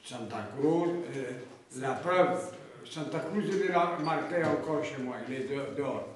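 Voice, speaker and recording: Older man in his 70s, from Oran, singer reminiscing, halting and repetitive, picked up from afar in an echoey room. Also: mid-range voice, expressive, crowd noise. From Oran, Algeria. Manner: halting, repeats words, slow reflective delivery. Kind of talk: recalling memories in an interview. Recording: echoey room, distant mic, faint noise.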